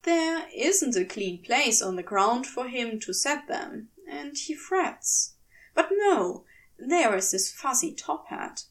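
A woman narrates fiction in a fairly high, very animated voice. She takes her time over each phrase in a scripted storytelling style. The microphone sounds a little distant. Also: slow. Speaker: fan fiction podfic narrator.

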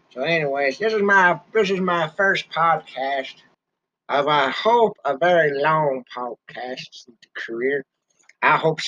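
Man voicing a bear podcast host: rambling, ungrammatical, angry ranter; somewhat high, dull lo-fi sound. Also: sharp tone, exaggerated voice. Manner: rambling hick grammar, angry ranting. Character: gruff grumbling male bear podcaster, ranting.

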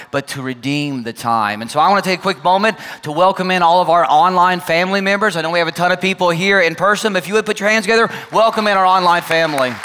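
A male pastor with a hoarse, laryngitis-strained voice, giving chatty, upbeat welcome announcements to his congregation and online viewers. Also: fairly high pitch, sharp tone. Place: large church sanctuary with congregation. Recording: long church reverb, faint music.

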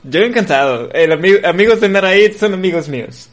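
A man's voice: high-pitched, animated, brisk. A man playing a tabletop game, talking casually and playfully, often laughing. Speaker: player. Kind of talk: casual play chat.

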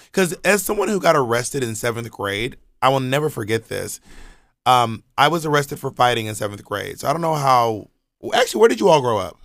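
A man's voice: mid-range voice, fairly bright tone, no room sound, clean studio sound. Man telling stories on a podcast. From Georgia, US. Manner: loose, rambling storytelling with frequent restarts and slang. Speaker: podcast co-host. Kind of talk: casual podcast chat.

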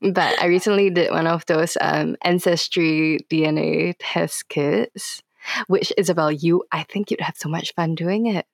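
A woman's voice: deep voice, steady tone, unhurried, creaky, no echo. A young woman chatting casually as a podcast guest, in a playful, slangy way. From Singapore. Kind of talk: casual interview chat.

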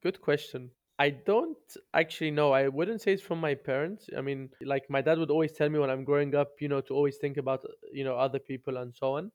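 A man's voice: a little high, fairly even intonation, fairly quick, hardly any pauses. A man, telling his story conversationally as a podcast guest. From London, UK.

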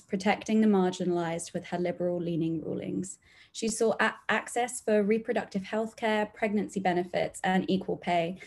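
A young woman in her twenties, a law graduate, giving an earnest prepared tribute read from notes. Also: mid-range voice. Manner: steady, earnest, reading from notes.

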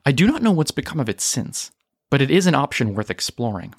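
Guy playing a stern rebel leader lady, formal n measured, audio drama, dry recording. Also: medium pitch, sing-song, no room sound. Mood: measured, pragmatic. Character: composed, principled, firm female rebel leader. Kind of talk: audio drama character reading.

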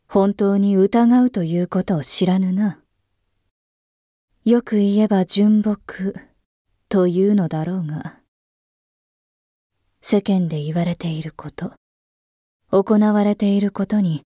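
A weary, brooding emperor, voiced by a woman, muses in a slow, half-whispered, husky tone. The voice is dark and mellow at a middle pitch, with a dry, close sound and no room around it. The old-fashioned, imperious phrasing carries quiet disdain and tiredness. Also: dry recording. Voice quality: archaic, imperious speech. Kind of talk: voice drama monologue.

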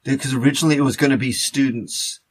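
A man chatting casually as a podcast host, in a halting, rambling way full of fillers. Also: mid-range voice, steady tone, breathy edge, poor audio. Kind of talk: casual chat.

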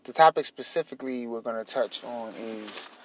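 A man's voice: medium pitch, moderate pace, husky, low-quality recording. A man co-hosting a casual talk show, rambling loosely with lots of fillers and teasing his co-host. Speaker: podcast co-host. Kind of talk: casual chat.

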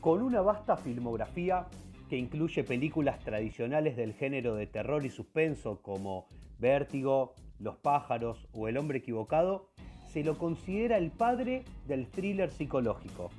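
A man's voice: medium pitch, animated, moderate pace, dark tone, faint music behind, close to an everyday voice. An actor with an Argentine Rioplatense accent playing a pompous, easily exasperated film expert who hosts a comedic radio show, switching between formal and slangy. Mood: formal, polished. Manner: formal presenting that slips into slangy grumbling.

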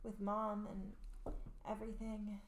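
A woman's voice: mid-range voice, completely flat delivery, slow pace, close to an everyday voice. A woman playing a sweet, pleading sixteen-year-old girl in an amateur radio play. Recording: echoey room.

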